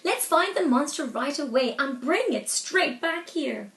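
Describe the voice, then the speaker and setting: A serious deputy headmaster from a picture book, played by a woman, speaks in firm, formal teacherly phrases. The voice is high and the room is echoey. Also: animated, a little slow, fairly bright tone, recorded from a distance, heavily acted. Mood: remorseful. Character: stern, serious male deputy head who softens. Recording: echoey, roomy sound.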